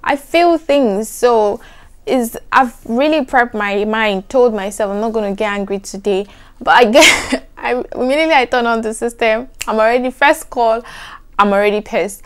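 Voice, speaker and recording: Young woman vlogging, soft soothing voice, animated and emphatic. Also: fairly high pitch, moderate pace, smooth. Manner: animated, emphatic, repeats "trust me". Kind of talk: casual chat to camera.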